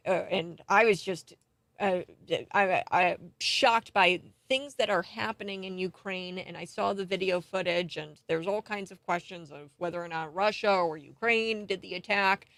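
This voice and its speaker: An American woman hosting a radio talk show in a studio, speaking in an excitable, emphatic way. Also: mid-range voice, moderate pace, dry recording. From United States. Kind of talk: talk radio monologue and interview.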